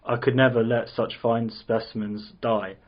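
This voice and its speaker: A man playing a sinister, condescending villain scientist in an amateur script read, with measured, controlling delivery. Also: mid-range voice, level tone, close to an everyday voice. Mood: cold, dismissive. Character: sinister, condescending male villain scientist running cruel tests. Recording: dull lo-fi recording.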